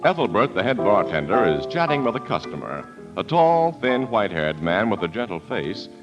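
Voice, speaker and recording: A man's voice: on the low side, sing-song, normal speed, lo-fi sound. An American man announcing and narrating in scripted 1940s radio style, formal and declamatory. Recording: vintage radio broadcast with music behind.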